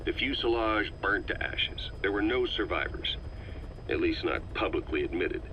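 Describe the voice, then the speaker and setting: An actor playing a calm, middle-aged male agent who methodically explains a conspiracy to the listener on a cassette tape. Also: deep voice, normal speed, slight background noise, heavily acted. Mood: measured. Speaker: intelligence briefer on a cassette tape. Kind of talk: scripted game voice acting. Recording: slightly muffled cassette tape recording.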